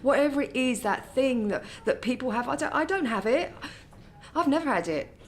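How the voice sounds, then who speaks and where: An actress plays a guilt-ridden, middle-aged mother visiting her son on a ward. Her voice sits a touch high, defensive one moment and remorseful the next. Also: expressive, moderate pace, a little hiss or hum. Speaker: patient's mother. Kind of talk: radio play acting.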